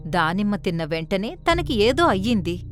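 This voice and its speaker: A woman's voice: medium pitch, big pitch swings, moderate pace, soft background music. A woman reading scripted narration for a children's story.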